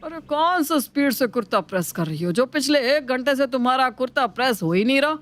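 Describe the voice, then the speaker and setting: A man voicing a thrifty, easily exasperated older father-in-law in an animated moral story, with a scolding, exclamatory manner. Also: very high-pitched, brisk. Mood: irritated.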